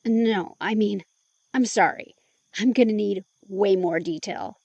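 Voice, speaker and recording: A woman's voice: mid-range voice, measured pace, creaky, mellow, dry recording, muffled recording. A woman acting a curious, teasing helper in an intimate audio drama, playful and blunt as she prods for more. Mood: prodding, blunt.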